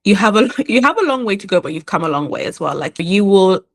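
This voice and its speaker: A woman who was born in the UK and grew up in Ireland and England, speaking as a cartoonist guest in a podcast interview. She talks in a thoughtful, unhurried way with frequent restarts. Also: medium pitch, big pitch swings. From born in the UK, raised in Ireland and England. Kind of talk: reflective conversational interview.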